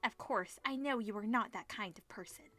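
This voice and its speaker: A woman's voice: a little high, moderate pace, pushed and bright, dry recording. A woman voicing a loyal, protective teenage girl, a childhood friend with an earnest, supportive manner in a visual novel. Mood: reassuring.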